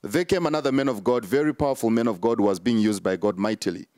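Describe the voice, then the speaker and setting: A man's voice: on the low side, quick pace, darkish tone, no room sound, clean studio sound. A man, a prophet answering interview questions in an emphatic, repetitive preaching cadence with many fillers.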